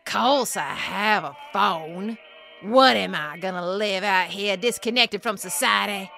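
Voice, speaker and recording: An actress playing a suspicious, gruff old woman who lives alone, talking bluntly in a slow drawl. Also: mid-range voice, pushed and bright, faint music behind. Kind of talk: audio drama acting.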